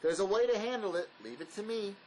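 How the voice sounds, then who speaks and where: A man's voice: high voice, fast, slight background noise, close to an everyday voice, rough recording. A man playing a desperate, pragmatic fugitive ex-convict in an audio drama, terse and dismissive, bossy as he talks his partner round. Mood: defensive, irritated.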